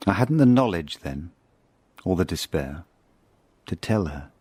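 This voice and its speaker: A man acting a reflective middle-aged spy, narrating his past in a radio drama in a measured, wry, literary way. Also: low-pitched, big pitch swings, dark tone, breathy edge, no room sound, heavily acted. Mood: brooding, regretful.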